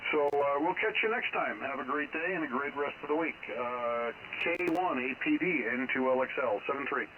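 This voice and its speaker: A man's voice: mid-range voice, normal speed. An American amateur radio operator, a man chatting casually and rambling from story to story over a radio transmission. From United States. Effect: shortwave radio transmission. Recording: narrow, noisy two-way radio signal.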